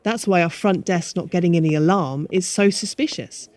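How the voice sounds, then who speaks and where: A woman's voice: fairly low pitch, moderate pace, darkish tone, lightly acted. A woman playing a harried but polite manager of a retirement home in a radio play.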